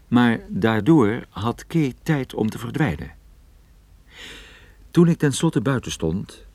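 A cool private detective tells his story in a radio play. The voice is fairly low and measured, recorded close and dry with no room sound. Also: dark tone, no room sound. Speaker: narrator. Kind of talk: scripted first-person radio play narration.

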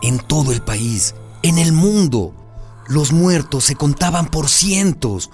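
A man's voice: on the high side, animated, takes it slowly, darkish tone, slightly rough, faint music behind, heavily acted. An actor playing a plain-spoken man recalling the pandemic in a radio drama, reflective, emphatic and indignant. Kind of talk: dramatized first-person narration.

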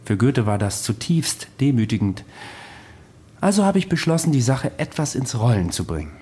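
Radio drama: wry, age-old male immortal, medium pitch, roughened husky tone, measured recollection. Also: sing-song, dark tone. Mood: amused narration. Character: ancient immortal man recounting his past, wry.

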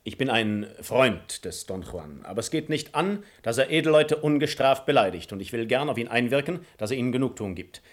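Guy playing a cool proud nobleman seducer, radio drama, mid-pitched voice, formal and composed. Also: animated, fairly quick, few pauses, close to an everyday voice. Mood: firm, assured. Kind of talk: German radio play acting of classic drama.

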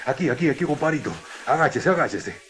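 A man's voice: on the high side, expressive, quick pace, fairly bright tone, slightly rough. A man playing a fatherly old miner in a radio drama, with a Chilean accent and emotive, colloquial speech. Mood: concerned. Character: old male miner, fatherly, devoted workmate.

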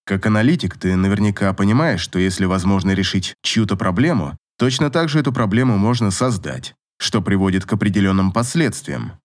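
A man's voice: fairly low pitch, animated, brisk, few pauses, lightly acted. A man voicing a possessive, domineering male lover in an audiobook romance, with an insistent and authoritative manner. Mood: low, commanding.

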